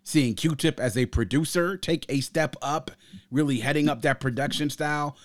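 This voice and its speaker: A man's voice: on the high side, moderate pace, no room sound, studio quality. A man hosting a music podcast, giving casual, opinionated album commentary in an emphatic, slangy way. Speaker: podcast host reviewing music.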